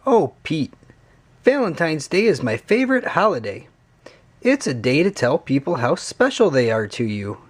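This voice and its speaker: A man voicing a friendly, encouraging young female cat from a children's storybook, upbeat and expressive. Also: a little high, moderate pace.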